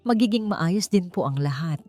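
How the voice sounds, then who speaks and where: A woman's voice: on the low side, lively intonation, moderate pace, mellow, soft background music, natural voice. A woman voicing an anxious, devoted young niece who speaks humbly and tenderly in an audiobook. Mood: tender, reassuring.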